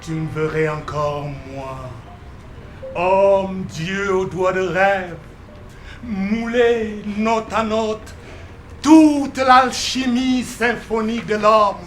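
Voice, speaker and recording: A man's voice: high-pitched, very slow. A man giving a theatrical poetry recital in a solemn, dramatic, declamatory manner. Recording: roomy echo, faint music behind.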